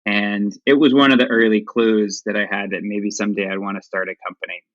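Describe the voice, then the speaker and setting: A man's voice: on the low side, flat delivery, brisk, dry recording, lo-fi sound. A man in his forties from Dallas, Texas, talking as a company founder in a casual interview, often restarting and repeating words.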